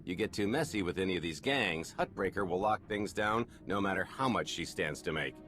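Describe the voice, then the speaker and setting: A man's voice: deep voice, lively intonation, a little fast, a bit bright, faint music behind. An actor playing a savvy male underworld fixer in a video game, matter-of-fact and streetwise in manner. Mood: knowing.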